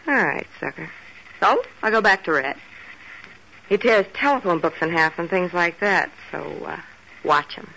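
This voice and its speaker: An actress playing a scheming, cool, teasing femme fatale with an American accent in a 1940s radio detective drama. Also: female voice, fairly low pitch, lively intonation, moderate pace, sharp tone. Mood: taunting, seductive. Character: sultry hard-boiled scheming femme fatale. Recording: dull, noisy old radio recording.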